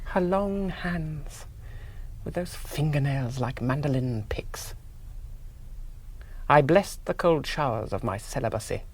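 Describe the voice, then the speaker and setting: An actor playing an earnest, priggish young rationalist hero, narrating a radio drama in precise, fastidious literary phrases. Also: male voice, on the high side, lively intonation, exaggerated voice. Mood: tempted, resolute.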